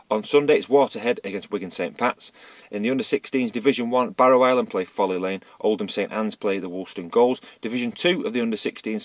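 A man's voice: lowish voice, dry recording. List: man, voice going, reading scores, dry sound. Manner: steady list-reading with small stumbles. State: losing his voice. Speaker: amateur rugby league reporter. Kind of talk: reading results and fixtures.

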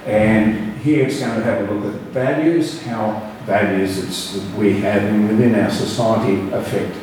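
A man with a fairly low voice presents in a halting, stop-start way. He is far from the mic in a strongly reverberant room. A faint hum of room noise sits behind him. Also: steady tone, darkish tone. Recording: echoey room, distant mic.

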